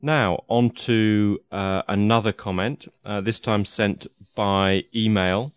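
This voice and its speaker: A man's voice: lowish voice, steady tone, slow pace, smooth, no room sound. A man hosting a podcast, talking in a chatty, casual way with lots of fillers and restarts. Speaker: podcast host.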